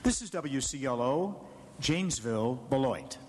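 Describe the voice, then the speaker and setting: A man's voice: mid-range voice, animated, moderate pace. A man making formal broadcast announcements as a radio station announcer. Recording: echoey theater hall, faint noise.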